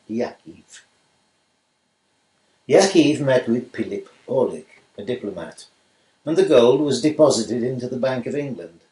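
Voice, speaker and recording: A man giving measured, scripted narration for a radio play. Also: medium pitch, mellow, natural voice. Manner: measured storytelling. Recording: roomy room echo.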